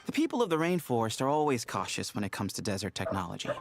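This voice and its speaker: An actor playing a knowledgeable, helpful desert scholar in a video game, explaining things in a measured way. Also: male voice, lowish voice, sing-song, gravelly, heavy background noise. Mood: easygoing. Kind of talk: expository video game dialogue.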